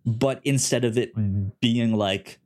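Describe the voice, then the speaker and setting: A man co-hosting a casual review podcast, talking informally and haltingly, often stuttering and repeating syllables. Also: mid-range voice, fairly even intonation, a little mellow. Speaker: podcast co-host. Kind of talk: casual review show chat.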